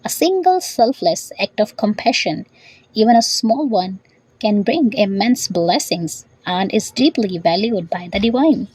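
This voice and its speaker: Female educational narrator, scripted read, raspy edge, animated pitch, dry studio-style sound. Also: a little slow, dry recording, low-quality recording. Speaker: narrator and vocabulary teacher.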